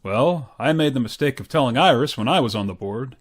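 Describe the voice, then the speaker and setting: A man's voice: mid-range voice, expressive, brisk, natural voice. A man playing a pompous, stingy, patronizing boss with a hearty manner, in old-time radio sitcom style. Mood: patronizing, confiding. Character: blustery, stingy, patronizing male boss, middle-aged or older.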